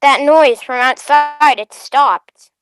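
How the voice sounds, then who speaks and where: A woman's voice: high voice, takes it slowly, low-quality recording. A woman playing a watchful, uneasy young male passenger in a sci-fi drama table read. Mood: uneasy, ominous. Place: online video call.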